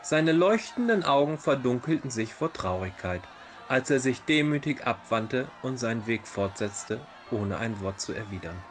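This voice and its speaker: A man's voice: mid-range voice, sing-song, normal speed. A man narrating a fairy tale as a storyteller in a dramatized reading. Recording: music behind the voice. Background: background music.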